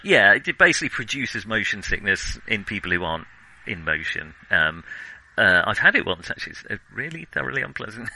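Bright, forceful man discussing film. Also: lowish voice, very animated, gravelly, rough recording. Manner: halting, digressive, self-correcting. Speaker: film discussion podcast co-host.

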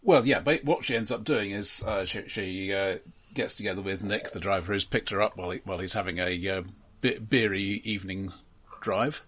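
A husky-voiced man co-hosting a casual film talk, explaining things in a halting, considered, analytical way. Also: low voice, a bit bright. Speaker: film podcast co-host.

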